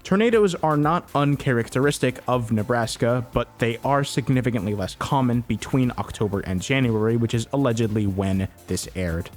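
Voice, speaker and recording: A man's voice: medium pitch, moderate pace, hardly any pauses. Man narrating a video essay over music. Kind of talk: scripted explainer narration with casual asides. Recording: music bed behind the voice.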